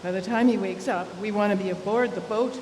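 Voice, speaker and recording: A woman's voice: mid-range voice, fairly quick, a little mellow, natural voice. A woman playing a tough, suspicious smuggler with blunt, earthy speech in an old-time radio drama, recorded in a hall. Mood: grim, decisive. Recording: echoey live hall, faint noise.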